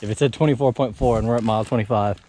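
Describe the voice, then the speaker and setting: A man talking casually while out on a long hike, relaxed and chatty with plenty of fillers, recorded outdoors. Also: medium pitch, very fast. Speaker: hiker filming his trip. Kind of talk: casual chat while hiking. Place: outdoors on a trail.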